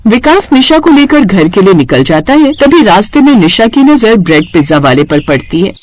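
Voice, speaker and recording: A woman storyteller delivers scripted narration. Her mid-pitched voice moves up and down animatedly as she tells the tale. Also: big pitch swings, moderate pace.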